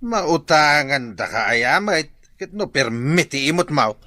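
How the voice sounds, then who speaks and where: A bright, scolding village husband. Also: male voice, fairly high pitch, big pitch swings, a little slow, dry recording, clean studio sound, full character voice. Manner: scolding and exasperated. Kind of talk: radio drama acting.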